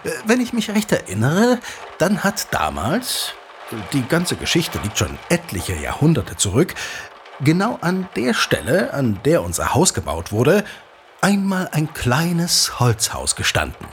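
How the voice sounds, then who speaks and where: An actor playing an eager father who recounts an old legend in an audio drama, animated, teasing and dramatic, like a keen storyteller. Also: on the high side, measured pace, slight background noise, full character voice. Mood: absorbed, storytelling. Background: music.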